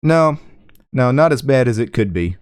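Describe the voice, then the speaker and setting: A man's voice: medium pitch, lively intonation, clear, resonant tone, no echo, clean studio sound. A man with a Southern US accent hosting a livestream, chatting casually and unhurriedly with a caller, peppering his talk with "yeah". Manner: laid-back, drawn-out, frequent "yeah"s. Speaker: livestream host. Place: small home room full of computer gear.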